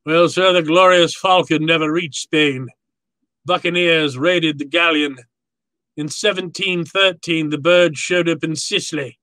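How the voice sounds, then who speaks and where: Man playing a pompous villain, bright forceful voice. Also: a little high, steady tone, unhurried, dry recording. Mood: relishing. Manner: florid, pompous, theatrical. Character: courtly, gloating, verbose male villain, obsessive collector. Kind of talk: 1940s radio drama acting.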